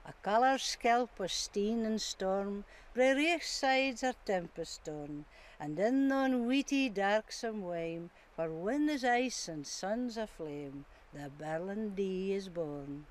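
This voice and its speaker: A middle-aged woman reciting a poem outdoors in slow, measured lines. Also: medium pitch, a little hiss or hum. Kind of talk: poetry recitation. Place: outdoors by a wall.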